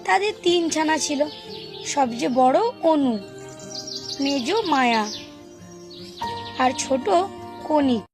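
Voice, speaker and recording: A woman narrating a children's cartoon story in a steady, gentle storytelling manner. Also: high voice, slow pace, pauses often. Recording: music playing behind. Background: background music.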